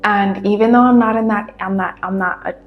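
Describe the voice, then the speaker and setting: A woman whose first language is Spanish, hosting her podcast in a relaxed, rambling monologue from her room, laughing often. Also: mid-range voice, brisk, reverberant, muffled recording, soft background music. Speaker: podcast host. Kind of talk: casual monologue.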